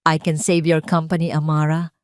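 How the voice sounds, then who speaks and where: A woman's voice: deep voice, monotone, normal speed, smooth, no echo, studio quality, natural voice. A woman voicing a confident, manipulative rich ex-husband with a smug, insinuating manner, in an audio romance drama. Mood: confident offer.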